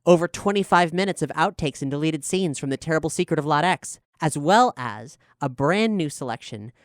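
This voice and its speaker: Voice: medium pitch, animated, fairly quick, dry recording, studio quality. A podcast showrunner and host talking casually with guests, then reading out an earnest promotional announcement to listeners.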